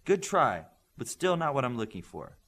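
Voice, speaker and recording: A man's voice: medium pitch, big pitch swings, moderate pace, a bit bright. A man voicing a stern, demanding superhero coach in an audiobook, speaking with blunt, commanding authority to young trainees. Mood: brusque, testing. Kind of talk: audiobook character dialogue.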